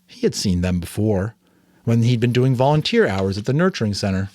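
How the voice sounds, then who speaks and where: A man narrating a novel aloud as a dramatic, expressive reading. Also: on the low side, fairly quick. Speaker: audiobook narrator and voice actor. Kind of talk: dramatic story reading with asides.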